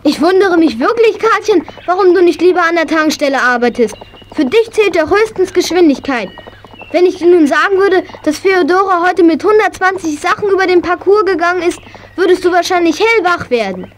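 Casting: pert, eager young girl, extremely high voice, children's radio play, faint noise behind. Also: lively intonation, brisk. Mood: pert, scolding. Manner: exclaiming, pert. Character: spirited, eager young horse-mad girl. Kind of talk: 1980s German children's audio drama acting.

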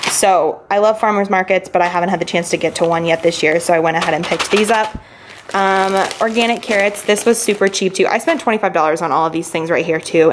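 A woman's voice: lowish voice, noisy background. A young woman vlogger chatting casually and upbeat about her shopping, filmed at home with a handheld camera. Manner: chatty and upbeat, lots of fillers. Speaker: lifestyle vlogger. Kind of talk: casual grocery haul chat. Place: indoors at home, kitchen counter. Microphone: handheld camera at arm's length.

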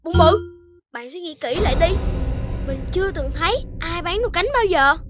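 A woman voicing a perky, sensible little animal child in a children's cartoon, expressive and childlike, with music behind. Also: very high-pitched, normal speed. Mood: skeptical.

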